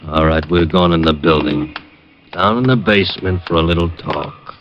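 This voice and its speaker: An actor playing a menacing gangster in a 1950s radio drama, curt and threatening. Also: male voice, sits low, normal speed, a little hiss or hum, natural voice. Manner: curt, threatening tough-guy talk. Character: menacing middle-aged male gangster, bullying. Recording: dull old radio broadcast.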